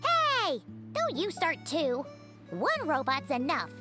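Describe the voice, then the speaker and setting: A woman voicing a tiny, chirpy non-human companion in a game, bubbly and excitable, over clearly heard music. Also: high-pitched, slow, full character voice. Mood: teasing. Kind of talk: game voice acting. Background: game score music.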